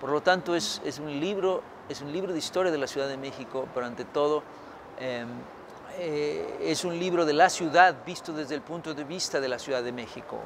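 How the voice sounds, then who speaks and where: Middle-aged man, thoughtful, halting interview answers. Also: on the high side, background noise. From Mexico. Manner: thoughtful, many fillers and restarts. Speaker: historian author. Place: outdoors by a building.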